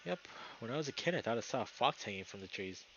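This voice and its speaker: A man voicing a timid, gentle young male lynx in a visual novel, speaking hesitantly and softly. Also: mid-range voice, expressive, fast talker, lo-fi sound, a little hiss or hum. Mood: uneasy.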